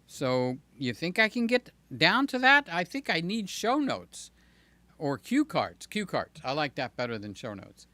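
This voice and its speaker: An older man hosts a casual live stream, joking and calling out viewers by name. His voice is a little hoarse and sits a touch high for a man. He sounds close and dry on a desk mic at home. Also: wildly swooping pitch, a little slow, sharp tone, dry recording. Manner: rambling, jokey, talks to chat by name. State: slightly hoarse. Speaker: livestream host. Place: home room with fans and air conditioner. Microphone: desk mic near the mouth.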